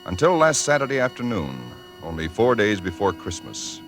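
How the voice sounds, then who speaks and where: A thirty-something engineer narrates in a very low, measured voice. He tells his story earnestly in the style of a vintage radio drama, with music playing clearly behind him. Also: sing-song, fairly bright tone, rough recording. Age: 30s. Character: ordinary 35-year-old chemical engineer narrating.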